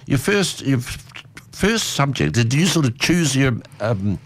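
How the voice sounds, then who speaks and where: A man hosting a chatty interview, often jumping in with quick reactions. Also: medium pitch, big pitch swings. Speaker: radio show host. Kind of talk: casual interview chat.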